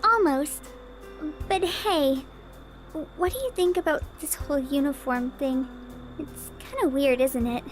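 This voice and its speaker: An actress playing a cheerful, teasing teenage little sister in an anime-style game. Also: female voice, high voice, a little slow, soft background music. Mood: curious, casual. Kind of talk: anime-style visual novel voice acting.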